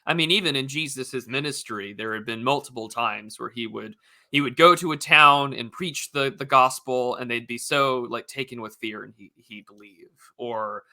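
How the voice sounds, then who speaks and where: A man's voice: mid-range voice, moderate pace, bright, forceful tone. A man hosting a podcast, thinking aloud in a casual chat with restarts and wry asides. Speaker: podcast host.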